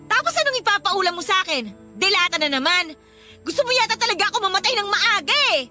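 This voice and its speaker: A woman playing an irritable, defiant girlfriend in a Filipino radio drama, with a sharp, scornful way of talking. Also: very high voice, lively intonation, fast, over loud music. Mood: irritated. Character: irritable, defiant live-in girlfriend, snappish and contemptuous.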